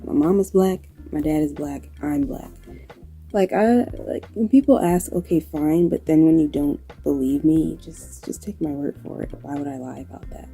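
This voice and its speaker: A woman with a New York accent and occasional Southern touches, talking casually to camera in a low-key, dry, unhurried way, answering questions. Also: dark tone, faint music behind. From New York, United States. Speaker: video creator and graphic designer.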